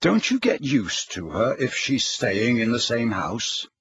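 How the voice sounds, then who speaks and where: A man's voice: medium pitch, big pitch swings, measured pace, a bit bright. A man voicing a curious, hesitant teenage boy with a questioning manner in an audiobook story.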